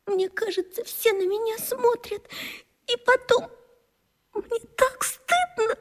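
A woman acting a timid, anxious schoolgirl in a children's radio play, speaking in a plaintive, halting way. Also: very high voice, in a large hall. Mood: fearful, ashamed.

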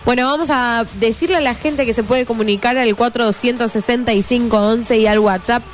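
An Argentine woman co-hosting a radio show, trading quick, playful banter. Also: on the high side, steady tone, a little hiss or hum. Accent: Argentine Spanish. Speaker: radio co-host. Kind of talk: casual radio chat. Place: radio studio. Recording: light music behind.

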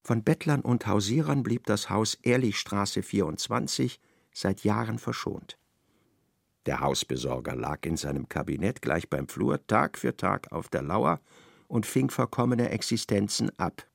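Low, gravelly male radio-play narrator. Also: animated, a little slow, no echo, clean studio sound. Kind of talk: literary scripted narration.

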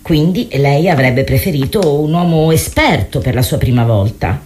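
A composed female therapist with a very deep voice and lively intonation. She questions in a measured, clinical way, probing and steering, in radio theatre style. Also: a little hiss or hum. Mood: calm, probing. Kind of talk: acted radio theatre dialogue.

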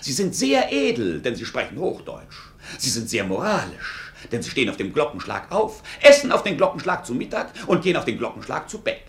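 A man's voice: mid-range voice, huge pitch swings, fairly quick, hardly any pauses, fairly bright tone. An actor playing a glib, mocking court fool in a radio play, declaiming wordy mock-ceremonious patter like a showman. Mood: grandiose showman patter. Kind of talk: theatrical radio play acting.

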